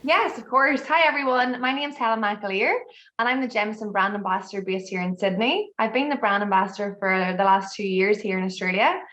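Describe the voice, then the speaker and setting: A woman's voice: mid-range voice, brisk, fairly bright tone. An Irish woman, a brand ambassador, presenting a tasting masterclass over a video call in a chatty, light-hearted way. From Ireland.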